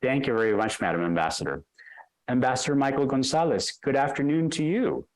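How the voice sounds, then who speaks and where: A man with a mid-range voice moderating a formal panel. He is polite and even, reading prepared questions and handing the floor to each speaker over a video call. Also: medium pitch, fairly quick, rough recording.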